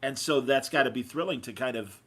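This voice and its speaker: A man's voice: medium pitch, fairly quick, a bit bright. A man hosting a casual interview, responding conversationally and reflecting on what his guests have said. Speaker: podcast host, interviewer.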